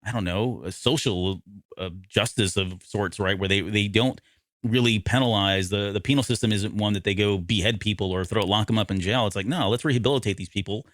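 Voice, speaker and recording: A man's voice: on the low side, fairly quick, fairly bright tone, dry recording, studio quality. A man co-hosting a podcast, talking through a story casually and often correcting himself as he explains. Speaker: podcast co-host. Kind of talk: casual discussion of an audio drama.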